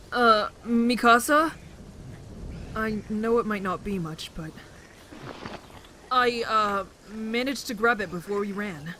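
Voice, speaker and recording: A woman playing a timid, kind teenage boy survivor in an audio drama, hesitant and gentle in manner. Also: medium pitch, takes it slowly, pauses often, slight background noise. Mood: gently reassuring.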